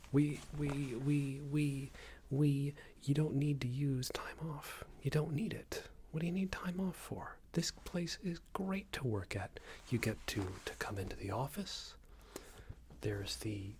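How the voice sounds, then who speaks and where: A man playing a smarmy, passive-aggressive company boss in a soft-spoken ASMR roleplay, hesitant and condescending, often half-whispered. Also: medium pitch, slight background noise, close to an everyday voice. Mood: disapproving, condescending, then defensive, smarmy. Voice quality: breathy, half-whispered, creaky. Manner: hesitant, hedging, condescending, rambling corporate small talk.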